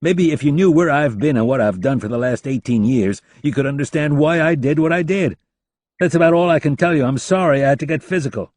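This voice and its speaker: A man's voice: fairly high pitch, fairly quick, a little mellow, dry recording, pitched above the performer's own voice, close to an everyday voice. A man voicing a cocky, blunt male covert operative who curses and talks down to people. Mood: curt. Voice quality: blunt, scornful, cursing.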